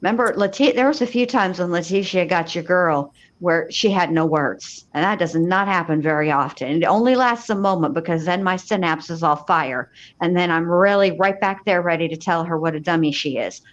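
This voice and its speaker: A woman's voice: fairly low pitch, normal speed. A woman talking casually and emphatically as a true-crime livestream panelist, with salty, colloquial wording. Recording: dull, echoey livestream audio.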